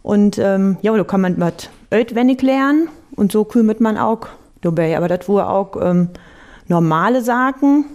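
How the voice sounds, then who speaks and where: A woman's voice: mid-range voice, lively intonation, normal speed, a little mellow. A woman, answering interview questions hesitantly with many fillers. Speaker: amateur theatre member.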